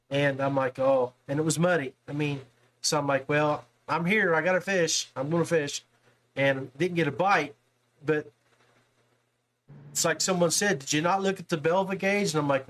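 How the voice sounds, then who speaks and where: A man's voice: fairly high pitch, normal speed, a bit bright, low-quality recording. A man with an American accent chatting casually as the host of a podcast. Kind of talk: casual chat.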